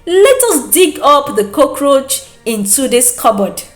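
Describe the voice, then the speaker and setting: A woman's voice: high voice, sing-song, slow pace, echoey room, faint music behind, heavily acted. A woman with a Nigerian accent voicing a scheming, nosy young female friend in a gossipy, theatrical, goading manner.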